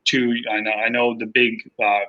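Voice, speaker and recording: A man's voice: medium pitch, normal speed, lo-fi sound. A man who grew up in Costa Rica, a panel guest talking casually with frequent fillers on a video call. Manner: relaxed, hesitant with many fillers.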